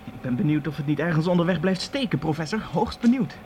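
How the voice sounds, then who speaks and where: A man's voice: on the high side, lively intonation, fast talker, darkish tone, a little hiss or hum, close to an everyday voice. A man playing an eager, dutiful young assistant to a professor, earnest and slightly pompous, in a comic radio play.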